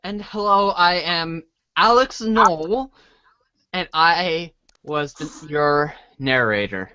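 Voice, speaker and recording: A man's voice: on the high side, slow pace, bright, forceful tone, smooth, no room sound, highs cut off. A man narrating a scripted read-along storybook in the second and third person.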